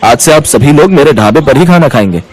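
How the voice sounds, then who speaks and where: A man's voice: a little high, lively intonation, fairly quick. A man voicing a kind, humble village eatery owner in storybook dialogue. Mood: reassuring. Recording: dry studio voice over music.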